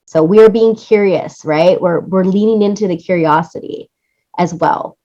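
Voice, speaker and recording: A woman's voice: on the low side, moderate pace, warm tone, highs cut off. A woman speaking as an interview guest in an enthusiastic, effusive way, often repeating phrases for emphasis. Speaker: interview guest, music supervisor and producer.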